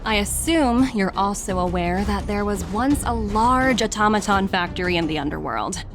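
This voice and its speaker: Actress as shrewd corporate envoy: young female, mid-pitched, polished and self-assured game delivery over soft music. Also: animated, normal speed, lightly acted. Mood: assured, calculating. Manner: assured, articulate, businesslike. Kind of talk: video game voice acting.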